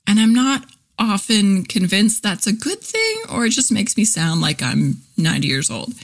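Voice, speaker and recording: A woman's voice: medium pitch, very animated, moderate pace. A woman in her forties hosting a casual solo podcast, chatty and candid, full of fillers and easy laughs. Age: 40s. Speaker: podcast host, copywriter and content teacher.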